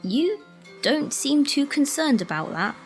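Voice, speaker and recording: An amateur actress playing a wry, knowing care worker in a radio play, gently sardonic and mock-scolding. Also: female voice, sits high, very animated, a little slow, faint music behind, heavily acted. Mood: knowing, teasing. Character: wry, conspiratorial female care-home worker, knowing and affectionate.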